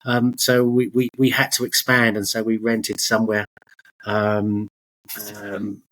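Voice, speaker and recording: A man's voice: on the low side, flat delivery, measured pace, a bit bright, low-quality recording. A middle-aged man chatting as an interview guest, telling his story in a hesitant, rambling, self-correcting way. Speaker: podcast guest, business owner.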